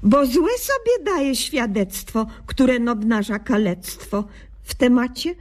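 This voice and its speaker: A woman playing an earnest, naive young doctor in a radio comedy sketch, deadpan in her quaintly mangled officialese. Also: fairly high pitch, takes it slowly. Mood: solemn narrating.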